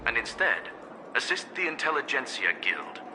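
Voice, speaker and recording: An actor voicing a courteous male robot sage who speaks in a measured, formal way, with game music behind him. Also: medium pitch, big pitch swings, background noise, close to an everyday voice. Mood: musing. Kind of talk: game cutscene voice acting.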